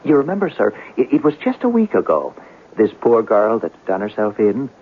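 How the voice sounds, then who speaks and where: An actor playing a deferential police sergeant in an old-time radio drama, hesitant and respectful, with folksy grammar. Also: male voice, fairly low pitch, huge pitch swings, normal speed, husky, heavily acted. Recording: muffled vintage radio broadcast.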